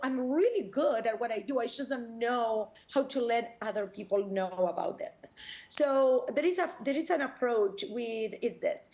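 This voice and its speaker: A woman's voice: a little high, a little mellow. A woman from Argentina with an Argentine accent, a personal branding coach speaking eagerly in an interview over a video call.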